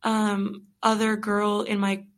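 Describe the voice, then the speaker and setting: A woman's voice: medium pitch, monotone, takes it slowly. A young adult woman as a podcast guest, talking casually with many fillers and frequent laughs. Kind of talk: casual interview conversation.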